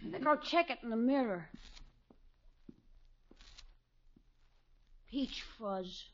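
A woman's voice: a little high, animated, moderate pace, natural voice. A woman playing a sulky schoolboy who longs to grow up, in a 1950s radio comedy. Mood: grumbling. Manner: plain slangy schoolboy talk, grumbling. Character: sulky, wounded schoolboy nephew longing to grow up. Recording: dull 1950s radio recording.

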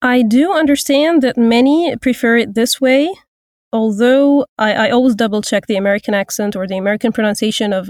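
A woman in her thirties from Egypt, a voice actor and language teacher, speaking thoughtfully with frequent pauses and restarts in an interview. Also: fairly high pitch, no room sound, studio quality. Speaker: guest, voice actor and Turkish teacher. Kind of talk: casual interview.

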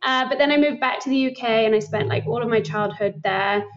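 A young woman from England, a company founder talking in a casual interview, thoughtful and quick to laugh. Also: a little high, muffled recording. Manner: reflective, laughs often, many likes. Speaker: guest, startup founder.

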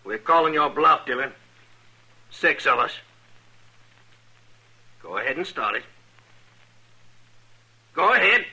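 A raspy, very forceful American gang henchman jeers in slow, drawn-out taunts. He is cocky and goading, straight out of a radio western. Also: on the high side, very animated, full character voice, poor audio. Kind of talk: 1950s radio western acting.

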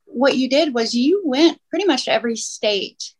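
A woman's voice: sits high, lively intonation, moderate pace. A woman co-hosting a podcast, asking chatty, hesitant interview questions. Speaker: podcast co-host. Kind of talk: casual interview.

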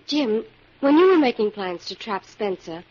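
A woman's voice: mid-range voice, lively intonation, normal speed, darkish tone, faint music behind, lightly acted. An actress playing the inquisitive, composed female partner of a crime fighter in an old-time radio drama, attentive and questioning. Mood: curious, composed. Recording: dull, reverberant old radio recording.

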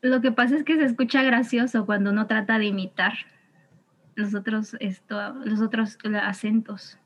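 A woman's voice: medium pitch, fairly even intonation, normal speed. A young woman explaining things in a casual group chat, with light laughter. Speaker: podcast guest, student.

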